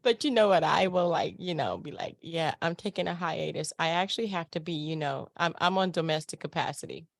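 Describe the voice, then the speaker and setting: A woman's voice: deep voice, brisk, vocal fry, hardly any pauses, no room sound, clean studio sound. A woman hosting a casual chat interview, speaking in an informal, slangy and effusive way. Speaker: interview show host.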